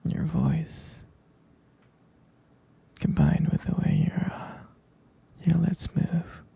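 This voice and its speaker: Guy doing boyfriend asmr, super dark breathy whisper, slow n tender. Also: fairly high pitch, sing-song. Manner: tender, adoring, unhurried murmur with soft pauses. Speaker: ASMR roleplay creator. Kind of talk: intimate boyfriend roleplay ASMR.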